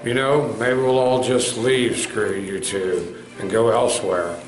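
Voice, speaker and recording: A man's voice: on the low side, fairly even intonation, unhurried, close to an everyday voice. A man playing a fed-up video creator protesting in a comedy sketch. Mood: defiant. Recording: echoey room with faint music.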